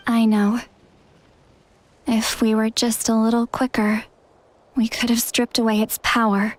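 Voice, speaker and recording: A woman's voice: on the high side, flat delivery, unhurried, no room sound, natural voice. A woman playing an earnest, caring young female spirit guide in a game, with a pleading manner. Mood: regretful. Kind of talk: English game dub acting.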